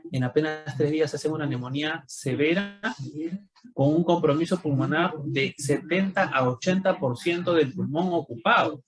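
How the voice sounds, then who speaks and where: A man's voice: fairly high pitch, poor audio. A man giving an explanatory medical lecture, often posing rhetorical questions. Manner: didactic, rhetorical questions with tag "no?". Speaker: doctor giving a talk.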